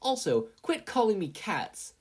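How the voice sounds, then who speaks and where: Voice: mid-range voice, sing-song, a little slow, darkish tone, breathy edge, pitched above the performer's own voice. A narrator voicing a brash, irritable teenage boy with a blustering, snappish delivery. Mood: irritated.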